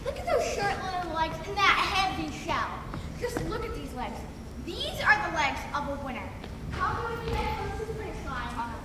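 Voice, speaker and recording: A woman's voice: very high-pitched, big pitch swings, moderate pace. A woman playing a cocky, boastful hare in a children's folktale play, mocking and exclamatory. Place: large hall, stage performance. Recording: very echoey hall, distant mic, noise.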